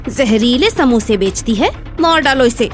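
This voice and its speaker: महिला कलाकार बनी ऊँची आवाज़ वाली चालबाज़ औरत. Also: female voice, a little high, lively intonation, a little slow, faint music behind. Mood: accusing. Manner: scornful, scolding. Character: scheming, spiteful female village dhaba owner. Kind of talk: dramatized Hindi moral story voice acting.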